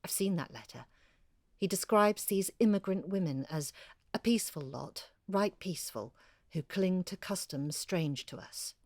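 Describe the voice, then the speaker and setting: A woman's voice: on the low side, lively intonation, measured pace, darkish tone, no echo, clean studio sound. An actress playing a bookish woman writer who eagerly recounts her research in a confiding, articulate way, as intimate audio drama storytelling. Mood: measured.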